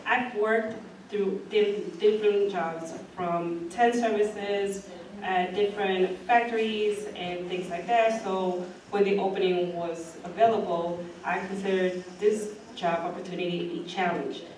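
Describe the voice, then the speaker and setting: A woman's voice: mid-range voice, steady tone, unhurried, darkish tone. A woman from Los Angeles, a police officer, giving an unscripted answer on a panel. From Los Angeles, California. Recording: echoey hall, distant mic, background noise.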